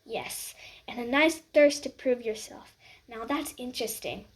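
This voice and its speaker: A woman voicing an ancient magical talking hat that murmurs softly and slyly into a listener's ear. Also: fairly high pitch, animated, a little slow, low-quality recording. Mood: hushed musing. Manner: hushed, murmuring close in the ear.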